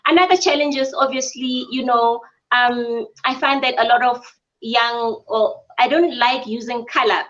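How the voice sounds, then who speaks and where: A woman from South Africa, a spa business owner, answering interview questions in an earnest, measured way with frequent ums. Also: fairly high pitch, steady tone, a bit bright. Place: her mother's home. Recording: dull lo-fi audio.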